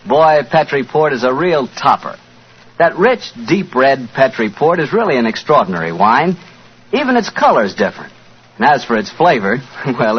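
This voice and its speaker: An American man announcing and selling on 1940s radio in a folksy, conversational style, with a husky voice. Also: mid-range voice. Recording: muffled 1940s radio recording.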